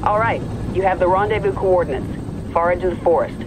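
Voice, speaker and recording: A man's voice: high-pitched, brisk, close to an everyday voice. A man playing a resolute military officer in a video game, firm and businesslike. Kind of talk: video game cutscene acting. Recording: loud aircraft noise behind. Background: aircraft engine noise.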